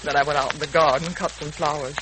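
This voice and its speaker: A woman's voice: deep voice, steady tone, fast, lightly acted. A woman playing a worried, sorrow-worn mother in a 1940s radio serial, earnest and melodramatic. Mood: weary, troubled. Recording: crackly 1940s radio recording.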